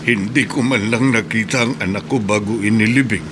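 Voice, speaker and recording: A man's voice: lowish voice, brisk, noisy background, close to an everyday voice. A man playing grieving middle-aged widower. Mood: sad. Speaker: radio drama actor. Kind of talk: radio drama acting.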